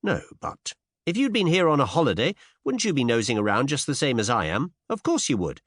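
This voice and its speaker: A man's voice: medium pitch, wildly swooping pitch, fairly quick, fairly bright tone, no echo, clean studio sound, pitched above the performer's own voice. A man voicing a cheeky, bold young woman in an audiobook, pert and teasing, with eager curiosity and old-fashioned slang. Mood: bold, coaxing. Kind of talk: audiobook character dialogue with period slang.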